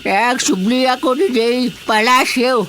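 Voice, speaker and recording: A woman's voice: on the high side, a little slow, a bit bright, slight background noise. An actress with an Ilocano accent playing a giggly, scolding grandmother creature in a radio drama comedy, full of exclamations. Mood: flustered giggling. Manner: giggly, exclamatory, repeats interjections.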